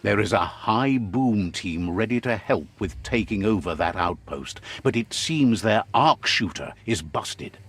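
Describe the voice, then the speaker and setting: Lively low-voiced male game narrator. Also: a little slow, few pauses, slightly rough. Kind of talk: scripted storybook-style game narration. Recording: music playing under the voice. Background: game music.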